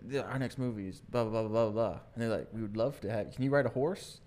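A man's voice: on the low side, fast, a little mellow. A man co-hosting a podcast in a studio, chatting playfully and acting out quoted bits. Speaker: podcast co-host. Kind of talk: casual comedic chat.

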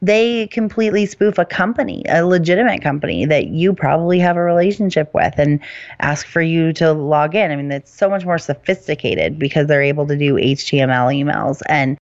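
A woman's voice: sits low, a little fast, highs cut off. A woman hosting a podcast, explaining things in a casual, chatty and informal way. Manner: chatty, informal, often hedging with "I mean" and "you know". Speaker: podcast host.

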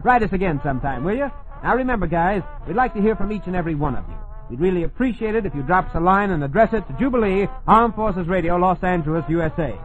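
A man's voice: high voice, expressive, fast. An American man hosting an old-time radio show, reading scripted announcements in a chummy, folksy way to listeners. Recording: dull vintage radio recording with music. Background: music behind the voice.

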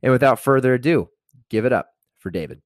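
A man's voice: medium pitch, lively intonation, a little fast. A man hosting his podcast in a loose, upbeat way, reading a sponsor spot and introducing a guest with casual fillers. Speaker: podcast host.

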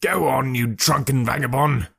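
A man voicing a cranky, lanky old male animal keeper who yells threats and then chats fussily. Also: medium pitch, moderate pace, creaky, breathy edge, heavily acted. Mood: angry, shouting. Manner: shouting threats, then fussy and chatty.

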